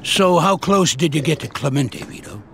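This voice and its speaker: An actor playing an older mob boss, blunt and dry-toned. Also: male voice, fairly high pitch, expressive, normal speed, vocal fry, a bit bright, slight background noise. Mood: calm. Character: older male mob boss, calm and commanding.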